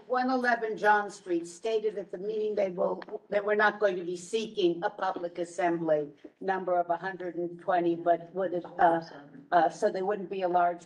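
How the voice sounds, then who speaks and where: A woman's voice: on the low side, fairly even intonation, normal speed. A woman giving a committee report at a community board meeting. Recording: distant mic in meeting room.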